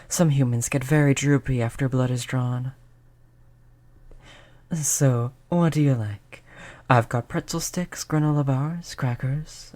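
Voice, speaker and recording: A performer voicing a polite vampire who looks like a child, gentle, reassuring and chatty in an audio roleplay. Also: medium pitch, natural voice. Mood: attentive, reassuring. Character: polite vampire turned young, stuck looking like a child. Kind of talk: audio roleplay spoken to the listener.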